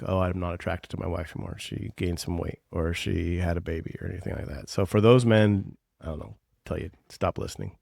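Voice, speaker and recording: A man's voice: low-pitched, gravelly, darkish tone, no echo, clean studio sound. A man co-hosting a podcast as a coach, talking in a blunt, teasing, earnest way. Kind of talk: casual podcast chat.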